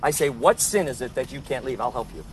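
A man preaching outdoors in conversation, loud and emphatic, quoting scripture insistently. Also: mid-range voice, lively intonation, fast talker, slight background noise, low-quality recording. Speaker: street preacher.

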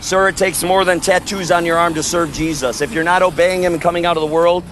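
A man preaching loudly and forcefully on an outdoor sidewalk, proclaiming to passersby. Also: high voice, fairly even intonation, fast, slight background noise. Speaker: street preacher. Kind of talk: open-air street preaching.

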